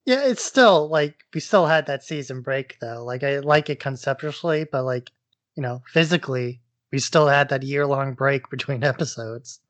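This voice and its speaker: A man's voice: mid-range voice, moderate pace, a bit bright. A man co-hosting a podcast with casual, conversational commentary and a wry, informal way of talking. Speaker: podcast co-host.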